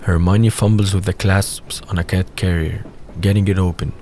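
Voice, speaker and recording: A man's voice: low voice, steady tone, moderate pace, mellow. A man reading scripted scene narration for an audio drama. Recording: faint background noise.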